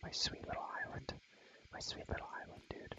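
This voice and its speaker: A young man whispering in a breathy voice for ASMR, rambling casually in slang as he shows a game world and softly repeats his phrases. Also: fairly quick.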